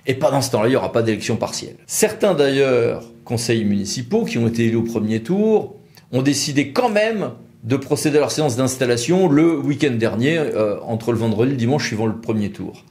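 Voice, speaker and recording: Lively French man explaining the rules. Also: mid-range voice, fairly quick. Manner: didactic, asks questions then answers them colloquially. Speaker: legal explainer presenting a law firm's videos. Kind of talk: informal spoken legal explanation to camera.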